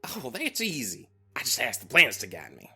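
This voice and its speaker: A man voicing a small, excitable frog-like druid in an audio drama. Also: medium pitch, normal speed, breathy edge, soft background music, heavily acted. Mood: cheerful, chatty. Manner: folksy, colloquial, animated.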